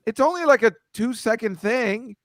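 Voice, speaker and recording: A man's voice: extremely high pitch, normal speed, no room sound, clean studio sound. A man on a comedy podcast, bantering animatedly and slipping into impressions. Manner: animated, jokey, slips into impressions. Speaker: comedian, show regular. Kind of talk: casual banter.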